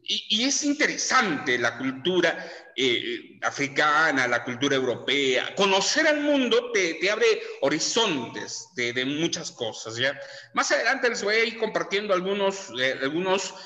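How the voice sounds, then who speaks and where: A man's voice: a little high, very animated, a little slow, bright, forceful tone. A middle-aged Peruvian man lecturing a class in a chatty way, dropping lots of tag questions and fillers. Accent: Peruvian Spanish. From Peru. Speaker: teacher. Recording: echoey room with long reverb.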